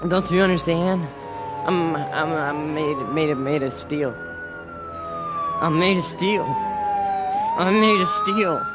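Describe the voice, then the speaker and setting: An actor playing a boastful, unstable young fanatic in a radio drama, intense, stammering and repeating himself. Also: male voice, on the high side, wildly swooping pitch, takes it slowly, long pauses, heavy background noise, full character voice. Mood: dazed disbelief. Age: young adult.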